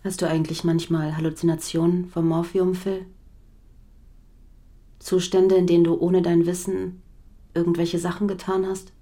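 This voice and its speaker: An actress playing a suspicious, restrained wife in a radio crime drama, probing, with a dark, mellow tone. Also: female voice, fairly low pitch, level tone, moderate pace, long pauses, slight background noise, close to an everyday voice. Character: tense, suspicious wife of an ex-convict.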